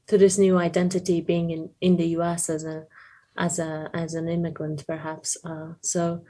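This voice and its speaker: A woman's voice: fairly low pitch, little pitch movement, normal speed, mellow. A woman from Afghanistan, a native Persian speaker with an Afghan accent, giving reflective interview answers as a poet, often searching for her words.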